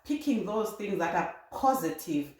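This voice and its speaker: A woman from Zimbabwe, a guest speaking in a measured way with frequent ums. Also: mid-range voice, expressive, darkish tone. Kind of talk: talk show conversation. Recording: very echoey room.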